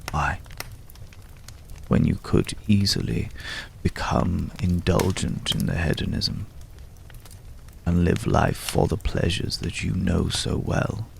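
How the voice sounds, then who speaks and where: Man voicing a gravelly, slow, world-weary old gentleman. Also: sits low, slight background noise, full character voice. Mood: earnest, questioning. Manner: measured, archaic, formal phrasing with long pauses. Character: world-weary old male companion of a vampire hunter, courtly, wistful. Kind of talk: ASMR roleplay, slow intimate storytelling.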